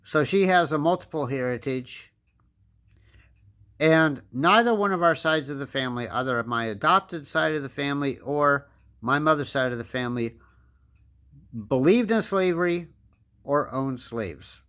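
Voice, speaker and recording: A man in his fifties with an American accent delivers a pointed political monologue. His voice sits a little higher than most men's. He lectures with emphasis and hammers his points home by repeating them. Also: a little slow, fairly bright tone. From United States. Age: 50s. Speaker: political commentator. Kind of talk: opinion monologue.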